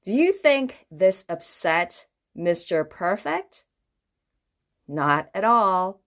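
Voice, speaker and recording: Slow, very animated woman storyteller. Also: medium pitch. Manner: expressive, asks the listener questions. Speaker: children's book reader. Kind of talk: animated read-aloud storytelling for children.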